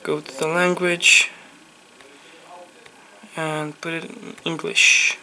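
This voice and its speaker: Low, flat-voiced Ukrainian-accented woman. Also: poor audio. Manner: halting, repetitive phrasing in non-native English. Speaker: phone repair technician presenting a tutorial. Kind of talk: unscripted hands-on repair tutorial.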